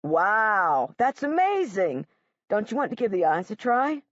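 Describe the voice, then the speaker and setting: A woman voicing a gentle, sorrowful middle-aged male art teacher who speaks softly and slowly. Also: medium pitch, very animated, no room sound, lo-fi sound. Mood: gentle sad. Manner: soft, slow, sorrowful.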